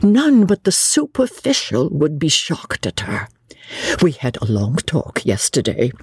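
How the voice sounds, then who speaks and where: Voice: mid-range voice, wildly swooping pitch, unhurried, a little mellow, husky, dry recording, clean studio sound, pitched above the performer's own voice, exaggerated voice. A reader voicing an older spinster chaperone in an audiobook story, prim, fussy and earnestly admiring. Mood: earnest admiration.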